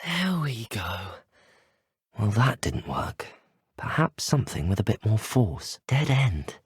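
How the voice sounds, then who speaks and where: A plucky teenage student wizard in a video game, with a British accent and a slightly husky, expressive voice. He is polite, earnest and well-spoken, with a middling pitch and a close, dry sound. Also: slow pace, no echo, exaggerated voice. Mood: earnest, composed. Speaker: video game player character voice. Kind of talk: scripted game voice lines.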